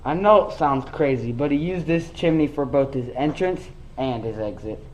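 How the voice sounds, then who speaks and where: A woman's voice: very deep voice, normal speed, a little mellow, slight background noise, low-quality recording. A woman playing an earnest, awed eyewitness telling a rhymed story in a radio play. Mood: insistent.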